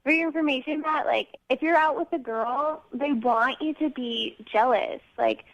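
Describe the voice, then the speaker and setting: Muffled, high-voiced young woman on the phone. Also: moderate pace, gravelly. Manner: defensive, indignant, frequent filler 'like'. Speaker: caller on a radio dating segment. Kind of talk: argumentative casual phone conversation. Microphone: telephone. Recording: muffled, band-limited radio phone line.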